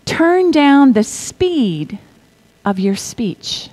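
A middle-aged woman preaching a sermon, reading scripture in a measured way and dropping to a whisper for effect. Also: sits high, big pitch swings, low-quality recording. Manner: slow, deliberate delivery with pauses and soft whispered stretches; asks the congregation to repeat lines.

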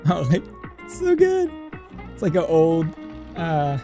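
A young man giving casual, animated, rambling reaction commentary as a streamer, close on the microphone. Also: fairly high pitch, takes it slowly, faint music behind. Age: young adult. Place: small room at home, indoors. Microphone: close boom-arm microphone.

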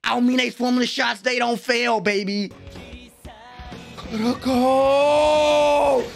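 A young American man giving excited, slangy reaction commentary at home on a close microphone, with an exclamatory manner. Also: very high voice, slow pace, gravelly, soft background music. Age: young adult. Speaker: reaction video host. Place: small room at home. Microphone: close desk microphone with headphones.

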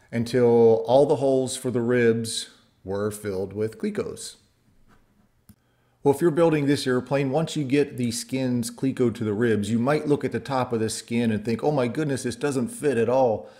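A man's voice: medium pitch, darkish tone. A middle-aged man narrating his kit airplane build in a home workshop, explaining each step plainly and practically.

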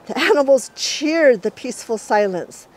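A middle-aged woman reading a children's story aloud in an expressive storyteller's way. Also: high voice, normal speed.

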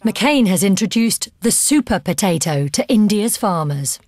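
A woman's voice: mid-range voice, lively intonation, measured pace, a little mellow, no room sound. A woman reading scripted documentary narration as the narrator of the film. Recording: music under the narration. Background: background music.

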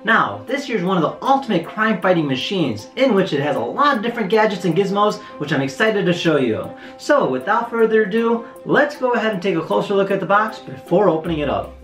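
A man's voice: high voice, big pitch swings, brisk, few pauses, a bit bright. A man in his twenties hosting a toy unboxing and demonstration in an enthusiastic, casual way. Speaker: toy review host. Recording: roomy echo, music behind. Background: clear background music.

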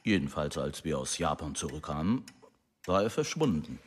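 An actor playing a genial, agreeable American husband in a German radio drama, husky and unhurried. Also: male voice, very deep voice, very animated, full character voice. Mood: reminiscing.

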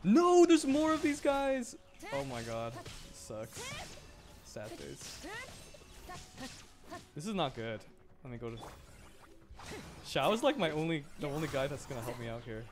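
A young man talks casually through his gameplay on a live stream. His pitch is lively and jumps around a lot. He mutters to himself and breaks into frustrated exclamations. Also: a little slow, long pauses, music in the background. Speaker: streamer playing a video game. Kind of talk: casual live gaming commentary.

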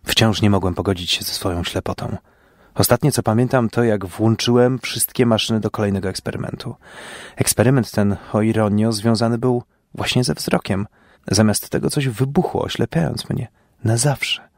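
A man's voice: fairly low pitch, moderate pace, dry recording, clean studio sound. A man reading first-person fiction in a dramatic storytelling style. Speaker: storyteller, audio show host.

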